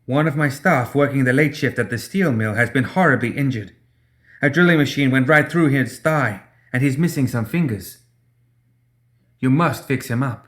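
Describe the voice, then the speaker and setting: A man's voice: mid-range voice, normal speed, a bit bright, pitched above the performer's own voice, lightly acted. A man voicing an urgent woman who bosses mill workers, in an audiobook story.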